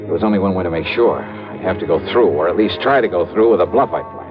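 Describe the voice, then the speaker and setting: An actor playing a shrewd, dry-witted insurance investigator in an old radio drama, speaking in a clipped, wry way. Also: male voice, sits low, quick pace, dull audio, natural voice. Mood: wry, tense narration. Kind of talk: 1940s-50s radio detective acting. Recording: band-limited old radio recording, faint music.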